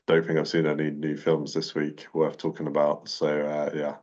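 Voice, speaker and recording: A man's voice: rumbling low, completely flat delivery, moderate pace, a little mellow. A man co-hosting a casual podcast chat, teasing and starting with hesitant fillers. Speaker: podcast co-host. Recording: dull lo-fi mic.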